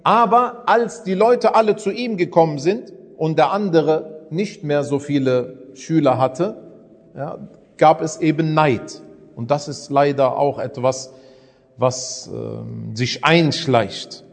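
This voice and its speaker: German-speaking preacher in a reverberant hall. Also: male voice, a little high, slow pace, creaky. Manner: conversational, asks rhetorical questions. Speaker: Islamic preacher. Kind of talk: religious lesson.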